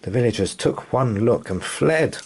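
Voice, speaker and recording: A man reading a children's bedtime story aloud in an animated storytelling style. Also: mid-range voice, moderate pace, breathy edge.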